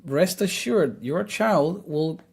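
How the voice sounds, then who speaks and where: A man's voice: on the high side, expressive, takes it slowly, vocal fry, slightly rough. A man speaking as a podcast guest, explaining his ideas earnestly and often saying "you know" and "like". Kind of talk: casual podcast conversation.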